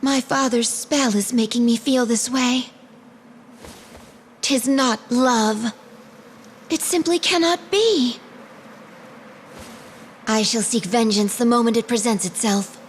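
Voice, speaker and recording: An actress playing a proud, dutiful young warrior princess with formal, earnest delivery in a video game drama. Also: female voice, a little high, takes it slowly, pauses often, a little hiss or hum. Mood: bitter, resolute.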